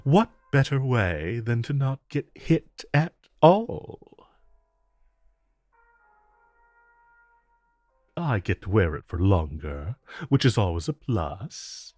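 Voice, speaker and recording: Man playing a low, slow, flirtatious villain. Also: sing-song, darkish tone, no echo, faint music behind. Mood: wry, teasing. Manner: smooth, teasing, unhurried drawl with deliberate pauses. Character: suave, flirtatious male supervillain courting his tailor. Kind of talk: romantic audio roleplay addressed to the listener.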